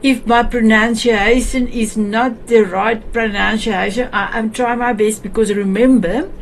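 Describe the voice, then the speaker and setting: Woman with an afrikaans accent, fairly high voice, chatty and halting, slight hiss behind. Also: fairly even intonation. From South Africa. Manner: chatty and hesitant, many restarts and fillers. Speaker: show host. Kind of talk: warm casual talk on a video call show.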